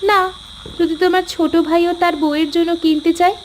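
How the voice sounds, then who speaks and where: Lady doing a young housewife in a family cartoon, pretty high voice, naggy and wheedling. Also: flat delivery, fairly quick, a little hiss or hum. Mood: sulky, demanding. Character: nagging, sulky young village housewife and daughter-in-law. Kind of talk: cartoon voice acting in Bengali family story.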